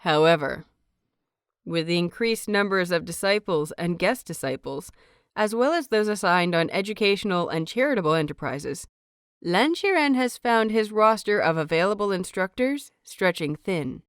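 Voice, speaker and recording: Smooth, lowish-voiced woman narrating a story. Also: expressive, moderate pace, no echo, studio quality. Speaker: narrator of fan fiction audiobooks.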